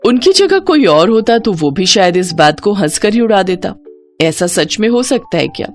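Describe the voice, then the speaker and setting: A woman narrating a scripted story in a dramatic, expressive storytelling manner. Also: mid-range voice, normal speed. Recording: music bed under the voice. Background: background music.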